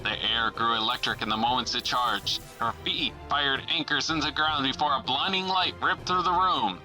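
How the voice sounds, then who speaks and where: A man's voice: medium pitch, normal speed, pushed and bright, soft background music, rough recording. A man playing a dramatic, suspenseful announcer narrating an old-time pulp adventure radio serial. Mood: rousing. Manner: theatrical, suspenseful.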